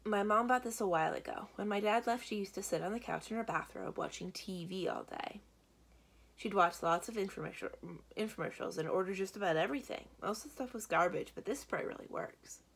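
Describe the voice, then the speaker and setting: A woman's voice: medium pitch, fairly quick, gravelly, slightly rough. A woman voicing a big, touchy schoolboy in a storybook, sullen and terse, with hurt underneath. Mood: quiet, matter-of-fact. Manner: sullen, snarling outbursts, terse.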